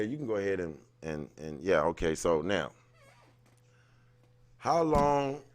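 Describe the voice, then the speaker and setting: A man's voice: lowish voice, no echo, clean studio sound. A man hosting a casual livestream, rambling along and often repeating his phrases. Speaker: livestream host. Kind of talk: casual livestream chat, greeting viewers. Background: music playing.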